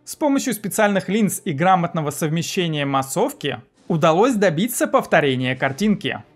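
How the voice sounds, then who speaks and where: A man in his thirties narrates a scripted film review in a fairly high voice. He sounds like a video host at his desk, with music playing behind him. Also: sing-song, moderate pace. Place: small indoor room, seated at desk.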